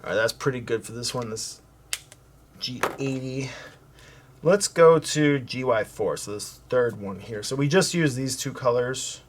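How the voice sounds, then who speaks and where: A man hosting a coloring tutorial, talking casually and softly as he works, thinking aloud with fillers and restarts. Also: mid-range voice, unhurried, slight background noise. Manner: relaxed, thinking aloud while working, with fillers and self-corrections; soft-spoken, hoping to sound calming. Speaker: art tutorial host.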